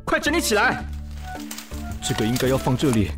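A man voicing a fussy, excitable, lovestruck man in an audio drama, theatrical and exclamatory. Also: medium pitch, a little fast, over music. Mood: frantic. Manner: theatrical, exclamatory, self-questioning. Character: fussy, excitable, vain man smitten at first sight. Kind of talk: audio drama acting with inner monologue.

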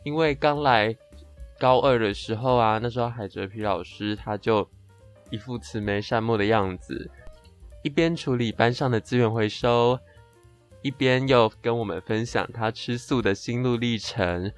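A young man with a Taiwanese Mandarin accent co-hosts a podcast. His voice sits at an average male pitch as he spins comic anecdotes with playful exaggeration. Light music plays underneath. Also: normal speed, smooth. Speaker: podcast co-host. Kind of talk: chatty comic storytelling in a two-host podcast.

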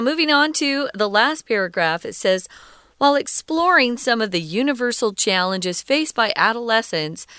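A woman teaching English. She reads a passage aloud and patiently explains each word, often pausing with "um" and "uh.". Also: medium pitch, a bit bright, clean tone, no room sound, clean studio sound. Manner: patient, defines words step by step; frequent ums and uhs.

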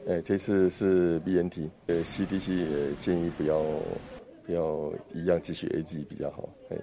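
A man's voice: deep voice, flat delivery, normal speed, crowd noise. A man with a Taiwanese Mandarin accent giving casual answers as an interviewed member of the public. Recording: crowded, busy interview location.

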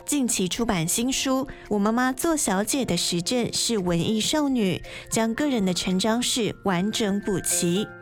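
Higher-pitched woman reading scripted narration. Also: lively intonation, measured pace, hardly any pauses, soft background music. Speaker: announcer reading a guest introduction.

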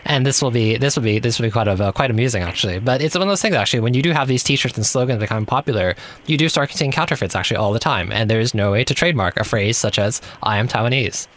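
A man's voice: medium pitch, fast talker, fairly bright tone. A man sitting in a radio studio as a guest, giving amused, musing commentary on the news with fillers.

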